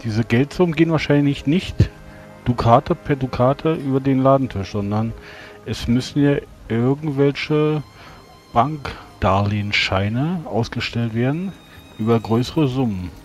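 A man with a medium-pitched voice argues in character during a tabletop game. He is deliberate and formal in his wording, over soft music. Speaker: tabletop role-playing player. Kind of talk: in-character improvised game dialogue.

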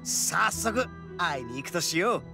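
An actor playing a brash, proud young male oni gang boss in a video game, with rough, cocky, emphatic speech. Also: sits high, sing-song, a little slow, over loud music, full character voice. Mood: confident, spirited. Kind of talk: dramatic video game voice acting.

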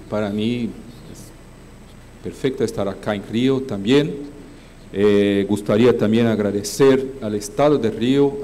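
A man's voice: fairly low pitch, warm tone, a little hiss or hum, low-quality recording. Earnest man. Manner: earnest, addresses the audience with questions, frequent hesitations. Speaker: panel speaker. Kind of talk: conference opening remarks. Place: large conference hall, seated at a panel table. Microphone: desk microphone.

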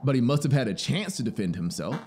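A man plays an inquisitive male traveler in a storybook tale. He questions with open disbelief, his pitch moving in a lively, animated way. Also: fast, slight background noise, natural voice. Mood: disbelieving. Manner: questioning, incredulous. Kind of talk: dramatised storybook reading.

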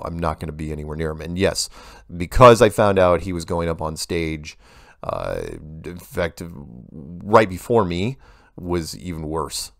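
A man's voice: deep voice, creaky, no room sound, clean studio sound. A man hosting a show, reading listener emails aloud and commenting casually with wry asides.

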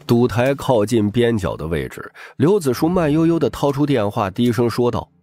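Man narrating with lively pitch. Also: normal speed, dry recording, clean studio sound. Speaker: audiobook narrator. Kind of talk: dramatic scripted narration of a crime novel. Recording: music bed behind the voice.

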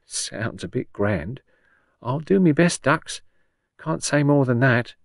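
A man's voice: medium pitch, lively intonation, takes it slowly, gravelly, no room sound, studio quality, pitched above the performer's own voice, full character voice. A man voicing a shrewd, blunt old working-class woman patient in an audiobook, chatty and colloquial with folksy endearments. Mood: cheeky, resigned. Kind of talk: audiobook character dialogue.

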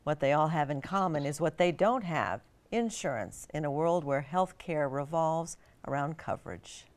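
A woman's voice: deep voice, lively intonation, normal speed. A middle-aged American woman reading scripted TV news narration as an anchor and reporter.

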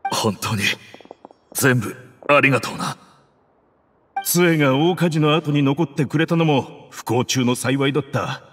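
A man playing a rough-talking, sentimental desert mercenary in a video game. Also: mid-range voice, lively intonation, unhurried, pauses often, a little hiss or hum, close to an everyday voice. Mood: grateful, wistful.

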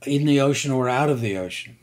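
An older man, a scientist guest, explaining slowly and deliberately with many hesitations. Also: mid-range voice, a little mellow. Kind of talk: conversational science interview.

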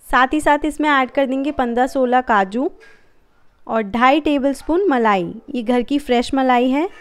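High, smooth-voiced woman cooking host. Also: normal speed. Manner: calm, instructive, friendly. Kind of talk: step-by-step recipe voice-over.

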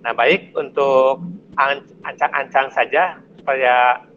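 A middle-aged man, a teacher with a West Java Indonesian accent, explaining things informally and haltingly to students on a video call. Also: medium pitch, fairly even intonation, fairly bright tone, slight background noise. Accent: Sundanese-region Indonesian. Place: small indoor office or classroom, on video call. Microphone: headset on video call. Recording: dull, lo-fi video-call audio.

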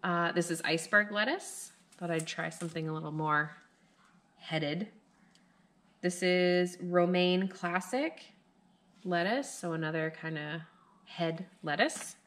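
A woman in her thirties with a low voice talks casually to a camera in her living room at night. She rambles along loosely and fills her pauses with "like" and "um" as she chats about her garden. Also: a bit bright. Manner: rambling and chatty, with many fillers. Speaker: homestead gardening vlogger.

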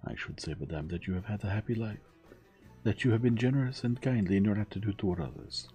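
Male narrator doing a courteous, relentless Belgian detective, dark low tone. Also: soft background music, close to an everyday voice. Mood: probing. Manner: measured, courteous, relentlessly probing. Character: shrewd elderly male Belgian detective, polite but probing.

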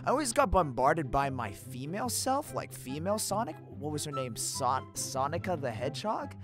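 Cartoon hedgehog hero, young male played by a woman, cocky but easily flustered, very animated stammering delivery, music underneath. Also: deep voice, normal speed. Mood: awkward, rambling. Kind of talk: fan comic dub voice acting.

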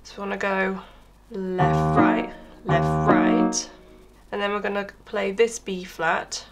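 A woman with a hoarse voice from being under the weather, chattily explaining a piano tutorial step by step at home, recorded on a crackling camera mic. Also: mid-range voice, flat delivery, slow. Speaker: piano teacher. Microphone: camera microphone with crackle. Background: piano playing.

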